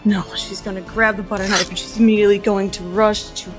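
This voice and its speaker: A woman's voice: medium pitch, flat delivery, quick pace. A woman telling her character's actions in a tabletop game, urgent and vivid. Faint music plays behind her. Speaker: player.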